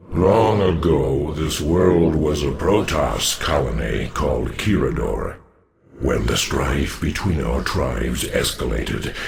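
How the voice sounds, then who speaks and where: An actor playing a grave, wary old alien warrior in a video game, slow and solemn with a gravelly, echoing voice. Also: male voice, extremely low pitch, slight background noise, heavily acted. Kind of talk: scripted video game voice acting.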